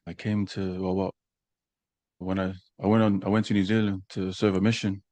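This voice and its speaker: A young man from Samoa, a podcast guest, talking about himself in an easygoing, soft-spoken, unhurried way. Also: low-pitched, flat delivery, no echo. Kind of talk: casual interview chat.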